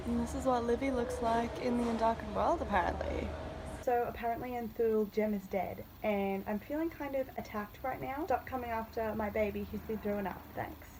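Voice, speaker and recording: A woman's voice: a little high, fairly even intonation, moderate pace. A woman giving a casual book reaction in gushing, slangy fan talk with sarcastic asides. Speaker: book reviewer vlogger. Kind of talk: casual reaction commentary, retelling a novel. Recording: noisy, glitchy amateur phone audio.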